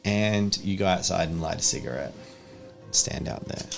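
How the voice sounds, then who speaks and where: A man's voice: sits low, moderate pace, gravelly. A man acting as game master, narrating a tabletop roleplaying game and calling out rulings. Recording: music behind the voice. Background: music.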